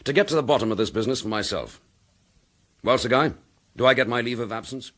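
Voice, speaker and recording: An actor playing an accused chief inspector, dogged and defiant, speaking in a firm, measured, indignant manner in a radio drama. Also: male voice, mid-range voice, fairly bright tone, close to an everyday voice. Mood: confident. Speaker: police detective.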